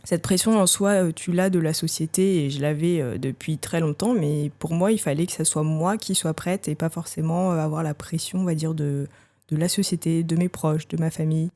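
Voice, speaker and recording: Woman in her thirties, low, warm voice; candid podcast guest, hesitant, pausing often to find words. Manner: hesitant and reflective, with frequent filler pauses. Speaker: podcast guest sharing a personal story. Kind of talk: candid personal testimony.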